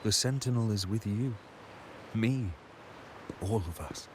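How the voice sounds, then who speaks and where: A man's voice: on the low side, measured pace, background noise, natural voice. A man playing a devout, solemn guard of a religious order in a video game, speaking formally and piously. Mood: reverent. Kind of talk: scripted video game voice acting. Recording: game music behind the voice.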